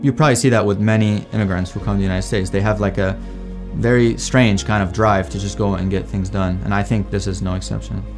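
A man's voice: lowish voice, steady tone, fast, hardly any pauses. A young man from Iraq casually telling his personal story in an informal, conversational way. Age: young adult. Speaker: alumni testimonial speaker, PhD student. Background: music bed.

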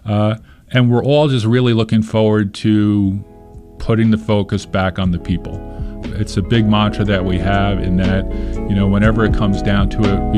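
A man who hosts a podcast, answering interview questions in a conversational way with many fillers and restarts. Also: sits low. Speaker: podcast host, here the guest.

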